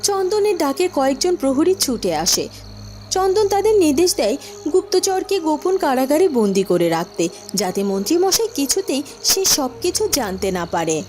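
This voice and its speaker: A woman's voice: high-pitched, very animated, moderate pace, a little mellow, soft background music. A woman narrating a scripted fairy-tale cartoon story.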